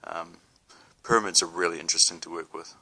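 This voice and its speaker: Deep flat-voiced kiwi guy, kinda sharp tone, lots of ums, chatting to camera. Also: quick pace. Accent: New Zealand. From New Zealand. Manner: hesitant, many ums and restarts, small laughs. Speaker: spiritual vlogger. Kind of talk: casual talk to camera.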